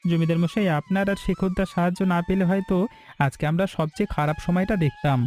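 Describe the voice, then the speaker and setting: A man as a high-pitched, loyal hotel assistant. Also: steady tone, fast talker, a little mellow, soft background music, lightly acted. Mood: respectful. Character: loyal, eager male hotel assistant and confidant. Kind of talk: Bengali moral-story cartoon dialogue.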